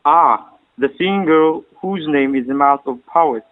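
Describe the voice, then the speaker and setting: A man's voice: a little high, a little slow, close to an everyday voice. Man acting a regal emperor, phone-like sound. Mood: gracious. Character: gracious, commanding emperor greeting a girl. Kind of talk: amateur stage-play dialogue, formal English. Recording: muffled, phone-like narrowband sound.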